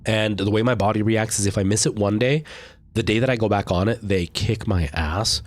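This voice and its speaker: A man's voice: lowish voice, brisk. A young man with a stuffed-up nose and a lingering cough, chatting casually with viewers while live-streaming a game, rambling with lots of fillers.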